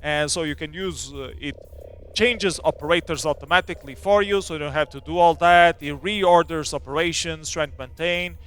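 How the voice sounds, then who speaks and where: A man's voice: on the high side, normal speed, a bit bright, smooth, soft background music. A man presenting a casual technical seminar in a loose, filler-heavy, informal way. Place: seminar room at a demo party.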